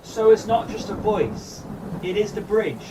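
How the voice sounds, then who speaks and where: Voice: medium pitch, a little slow, lightly acted. An actor playing a shaken but curious adventuring companion in a fan audio drama, hesitant and questioning. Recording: distant mic, stormy background noise.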